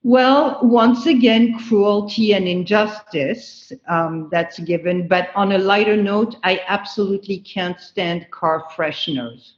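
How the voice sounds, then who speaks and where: A middle-aged woman from France, answering interview questions slowly and earnestly. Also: medium pitch, echoey room, highs cut off. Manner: slow, thoughtful, earnest. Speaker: guest.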